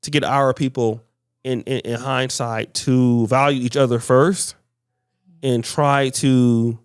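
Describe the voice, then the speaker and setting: A man's voice: mid-range voice, steady tone, slow, clear, resonant tone, dry recording, studio quality. A man hosting a podcast discussion, often stumbling and repeating words as he searches for his phrasing. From United States. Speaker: podcast host.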